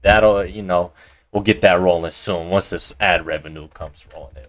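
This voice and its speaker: A young man from Colorado with a slightly low voice tells a story straight to camera in a loose, profane, slangy way. He sounds like a home vlogger talking into a cheap desk mic propped up on a jar. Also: steady tone, normal speed. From Colorado, USA. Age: young adult. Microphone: cheap desk mic resting on a coin jar.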